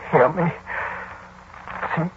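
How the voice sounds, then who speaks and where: An actor playing a dying, resigned old German man with an accent, speaking in weak, halting phrases in a 1940s radio drama. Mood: urgent, failing. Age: older. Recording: noisy, muffled 1940s radio recording.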